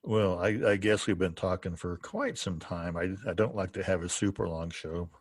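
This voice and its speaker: A man hosting a podcast in casual conversation, informal and halting in his delivery. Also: sits low, slightly rough, no room sound, clean studio sound. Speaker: podcast host.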